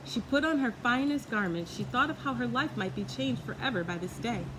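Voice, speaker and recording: A woman's voice: a little high, expressive, brisk. Woman reading a storybook over background noise. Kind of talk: children's storybook narration. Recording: clearly noisy background.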